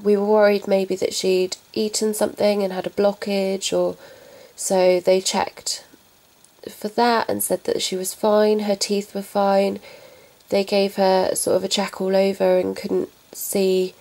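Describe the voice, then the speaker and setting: A young woman vlogging about her pets, talking softly and haltingly while getting over a cold. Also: mid-range voice, completely flat delivery, rough recording. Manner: soft, halting, subdued. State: recovering from a cold. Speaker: pet vlogger. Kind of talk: casual talk to camera.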